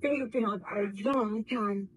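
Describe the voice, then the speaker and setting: A woman's voice: medium pitch, a little slow, natural voice. A woman playing a baron's anxious, devoted wife, formal and melodramatic in the manner of 1930s radio drama. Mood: worried. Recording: old radio broadcast with faint noise.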